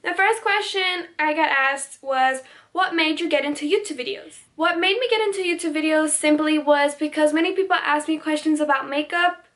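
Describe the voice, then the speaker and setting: A woman's voice: high-pitched, fairly bright tone. A teenage girl whose first language was Spanish, chatting casually through a Q&A on her vlog, with lots of "like" and restarted sentences. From United States. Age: teens. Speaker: beauty vlogger.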